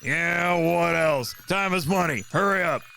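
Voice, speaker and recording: An actor playing a brash, dim-witted, wisecracking monster in an audio drama, cocky and gravelly. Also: male voice, a little high, measured pace, slight background noise, heavily acted. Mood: cocky, jeering. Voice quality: gravelly, sharp. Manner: cocky, heckling, impatient.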